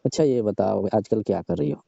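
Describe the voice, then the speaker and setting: A middle-aged man with a very deep, mellow voice speaks slowly and casually, and his tone is flirting. The phone call sounds muffled and dry. He answers with short murmured agreements between his longer lines. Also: gravelly, no room sound. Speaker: village quack doctor. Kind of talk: staged funny phone call.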